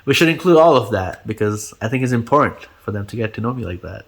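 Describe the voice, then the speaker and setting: A man's voice: on the low side, expressive, fast. A man in his twenties, Gujarati native speaker from India, chatting casually in an interview with lots of fillers and easy laughter. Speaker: channel host being interviewed.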